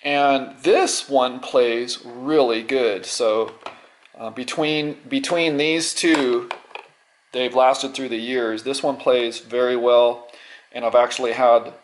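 A middle-aged man giving a casual unscripted show-and-tell, halting and rambling, often repeating words as he goes. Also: medium pitch, fairly even intonation, a little hiss or hum, rough recording. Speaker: vlogger.

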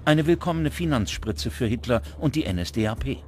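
A man's voice: medium pitch, animated, a little fast. Male documentary narrator over prominent music. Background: background music.

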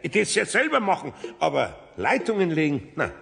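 Creaky, lively older Bavarian man. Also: a little high, brisk, in a large hall, exaggerated voice. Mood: wistful, sighing. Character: older grumbling good-natured bachelor carpenter. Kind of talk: children's radio play acting.

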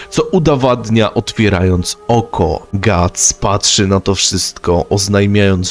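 A man giving dramatic scripted narration of a manga story. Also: fairly low pitch.

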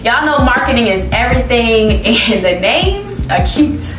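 Slow, lively woman in echoey room. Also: fairly high pitch, recorded from a distance. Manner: expressive, reads descriptions aloud, reacts with laughs. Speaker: beauty vlogger. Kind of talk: casual fragrance review. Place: living room with plants, indoors. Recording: roomy echo, mic set back, music behind.

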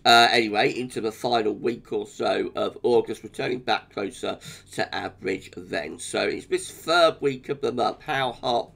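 A man with a British accent, croaky today, giving a chatty weather forecast over charts with frequent little laughs. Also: lowish voice, normal speed, fairly bright tone. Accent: British English. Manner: chatty, laughs often, restarts.

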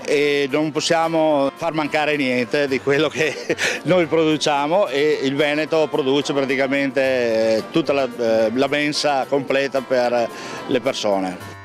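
A man's voice: mid-range voice, fairly even intonation, slow. Hesitant older man, faint music behind. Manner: hesitant, many fillers. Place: outdoor expo. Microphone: handheld mic.

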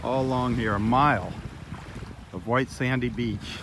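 Male outdoor vlog host, mid-pitched, easygoing and chatty, handheld phone audio with heavy water noise. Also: a little slow. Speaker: host of a live beach walk. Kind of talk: casual live nature vlog narration. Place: outdoors on a sandbar at a beach. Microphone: handheld phone camera.

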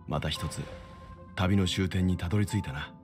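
Guy acting calm philosophical middle-aged game mentor, very low voice, music behind. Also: natural voice. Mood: calm, reflective. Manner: measured, reflective. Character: composed, philosophical middle-aged male mentor, gentle and thoughtful. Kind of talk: anime-style video game acting. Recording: music under the voice.

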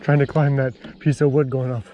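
A young man talking loosely and excitably as he fishes from a small boat on a river. His voice sits at an ordinary middle pitch. Light outdoor noise hangs behind him, picked up by an action camera. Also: moderate pace, dull audio. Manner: excitable, exclaims when a fish hits. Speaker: angler and video host. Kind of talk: casual chat while fishing.

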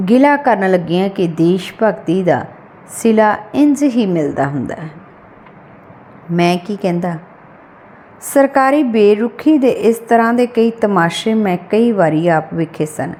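A woman narrates a written short story in audiobook style. Her voice sits at an average female pitch. She speaks, delivering prepared prose as a storyteller. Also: a little mellow, slight background noise.

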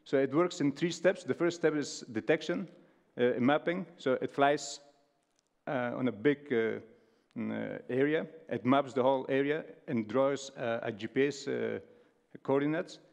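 A man from Afghanistan gives a talk on a large stage, pausing often with fillers and restarting his sentences. His voice has a gravelly, creaky texture at mid pitch. The sound carries a long reverb from the big hall. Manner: halting, many fillers and restarts. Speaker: speaker.

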